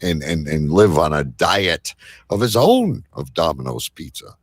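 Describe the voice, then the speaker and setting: Male host, low register with lots of movement, loose rambling live-show banter, close dry sound. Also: very animated, unhurried, slightly rough, no echo. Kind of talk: casual live show chat.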